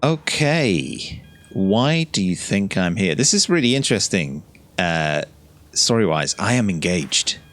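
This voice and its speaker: Deep, unhurried man commenting on a game. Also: big pitch swings, a bit bright. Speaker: gaming streamer. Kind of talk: casual gameplay commentary.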